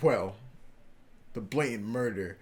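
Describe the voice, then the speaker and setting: A man co-hosting a casual podcast, talking in an animated way, swearing and laughing often. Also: fairly low pitch, takes it slowly, heavy background noise. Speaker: podcast co-host. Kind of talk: casual chat.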